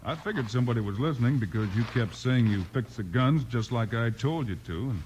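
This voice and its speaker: A man's voice: medium pitch, animated, fairly quick, a little mellow, slight background noise, natural voice, low-quality recording. An actor playing a confident, plain-spoken American Old West lawman hero in a 1950s radio western. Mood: calm, decisive.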